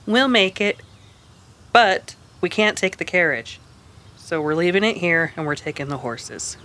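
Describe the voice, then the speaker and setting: A woman playing a steady, practical frontier woman, firm and matter-of-fact, in a western radio drama. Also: fairly low pitch, unhurried. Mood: firm, urgent.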